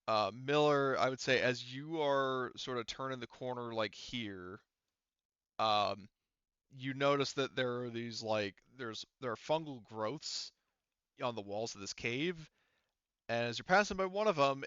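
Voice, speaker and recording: A man running a tabletop roleplaying game as game master, talking haltingly and thinking aloud as he works through the rules. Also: medium pitch, sharp tone, clear, resonant tone, dry recording, lo-fi sound.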